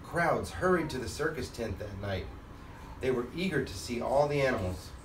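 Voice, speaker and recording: Man, father reading a children's book, expressive delivery, roomy sound, slightly distant mic. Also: medium pitch, moderate pace. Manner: expressive, acts out character lines. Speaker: father reading storybook, host. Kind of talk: children's storybook read-aloud. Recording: roomy, distant mic, faint background noise.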